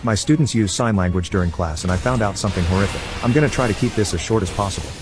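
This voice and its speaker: A man reading an online post aloud as a narrator, in an even read-aloud delivery. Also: lowish voice, very fast, music in the background. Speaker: story narrator.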